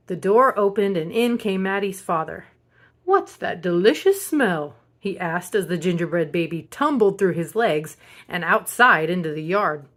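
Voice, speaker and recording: A woman reading a picture book aloud as a storyteller, in an expressive children's narration style. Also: fairly low pitch. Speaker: storybook reader.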